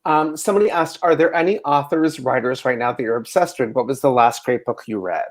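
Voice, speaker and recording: An interviewer relaying audience questions in a casual chat, with a tentative, halting way of putting them. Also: mid-range voice.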